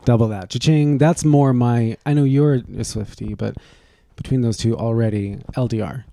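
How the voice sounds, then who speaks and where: A man's voice: mid-range voice, fairly even intonation, normal speed, gravelly, mellow, no echo. A man co-hosting a podcast in casual comedic chat, with dry, snarky joking. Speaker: podcast co-host.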